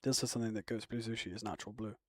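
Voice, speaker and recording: A man's voice: lowish voice, steady tone, rapid-fire, dry recording, clean studio sound. A man chatting casually on a live stream, rambling and answering viewer comments. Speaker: live streamer reacting to chat. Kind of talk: casual chat.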